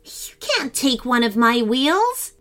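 A woman's voice: high-pitched, very animated, slow pace, fairly bright tone, voice pushed up, heavily acted. A woman voicing a polite, timid young boy in a children's story, sheepish and earnest. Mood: alarmed. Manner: sheepish, pleading.

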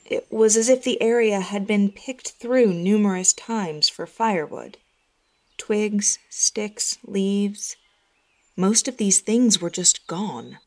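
A woman narrating scripted first-person horror fiction in a measured, expressive storytelling style. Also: medium pitch.

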